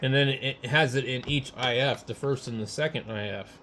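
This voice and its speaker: A man in a casual technical chat as a ham radio operator, speaking haltingly as he thinks aloud. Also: mid-range voice, noisy background.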